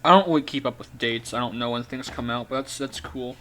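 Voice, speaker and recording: A man's voice: medium pitch, brisk, a bit bright, a little hiss or hum. A teenage boy hosting a casual podcast chat, hesitant and full of fillers and restarts. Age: teens.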